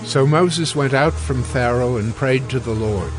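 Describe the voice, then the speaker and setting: Slow, solemn male narrator. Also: medium pitch, rough recording. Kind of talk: solemn scripted scripture narration. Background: music.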